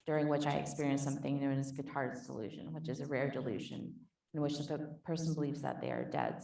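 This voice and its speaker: A woman author in a panel conversation, thoughtful and soft-spoken, reading from her book and talking about it. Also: extremely low pitch, gravelly, far from the mic. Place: conference hall. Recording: echoey hall, voice from off mic.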